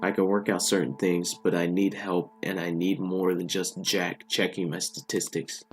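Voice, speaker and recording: A man voicing a strained, serious physicist astronaut in a story, grave and deliberate. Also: low-pitched, flat delivery, faint music behind, close to an everyday voice.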